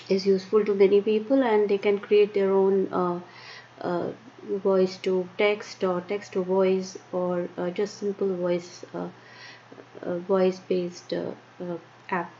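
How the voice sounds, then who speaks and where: A woman with an Indian accent presenting a live-streamed tech lesson, talking haltingly with many fillers and restarts. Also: mid-range voice, level tone, warm tone. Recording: muffled lo-fi stream with faint noise.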